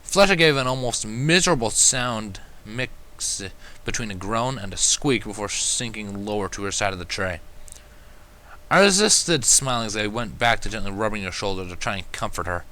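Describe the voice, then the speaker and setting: Bright, forceful low-voiced male story reader. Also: lively intonation, normal speed, low-quality recording. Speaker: fan fiction reader. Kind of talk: scripted first-person story narration.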